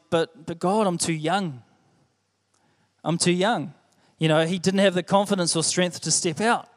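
High-voiced New Zealand preacher in an echoey hall. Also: male voice. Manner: earnest, conversational, repeats phrases. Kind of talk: sermon. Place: church hall.

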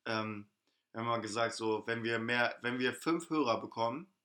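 A young man chats casually as a podcast co-host. His voice is bright and forward. His talk is slangy, keen and full of jokes. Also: mid-range voice, moderate pace. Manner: slangy, enthusiastic, joking. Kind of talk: casual chat.

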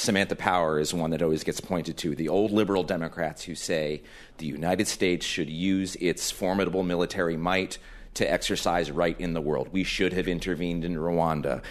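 A man moderating a live panel discussion, introducing and questioning guests as the host. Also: sits low. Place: live event space before an audience.

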